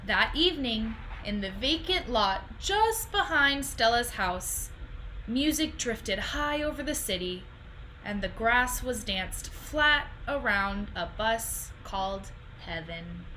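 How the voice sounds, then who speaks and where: A young woman reading a children's picture book aloud in an expressive, deliberate storytelling style, recorded at home on a computer microphone. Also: fairly high pitch, a little hiss or hum, poor audio. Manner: animated, deliberate phrasing.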